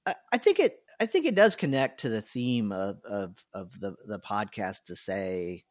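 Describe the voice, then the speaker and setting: A man's voice: on the low side, sing-song, moderate pace, dry recording. A man hosting a podcast, thinking aloud in long, searching sentences into a close microphone. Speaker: podcast host. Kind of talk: casual podcast chat.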